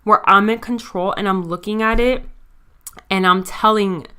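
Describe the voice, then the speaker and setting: Bright, clear-voiced young woman podcaster. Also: mid-range voice, fairly even intonation, normal speed. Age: 20s. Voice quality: stutter. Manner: candid, confiding, talks straight to listeners. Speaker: podcast host. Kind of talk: casual personal monologue.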